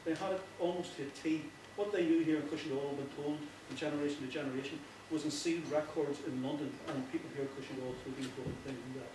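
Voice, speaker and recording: A man with a Northern Irish accent giving an informal, earnest speech, often halting and repeating himself. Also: on the high side, steady tone. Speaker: playwright giving a thank-you speech. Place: echoey indoor hall before an audience. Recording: very echoey, distant, noisy with clicks.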